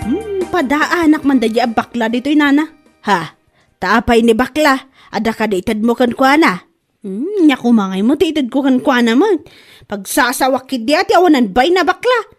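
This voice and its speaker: A woman playing a quarrelsome, gullible, indignant woman in a comedy audio drama, with an Ilocano accent and drawn-out exasperated delivery. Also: sits high, expressive, soft background music. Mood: aggrieved, complaining. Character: quarrelsome, gullible woman accusing a bag seller of lying. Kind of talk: Ilocano comedy radio-style drama acting.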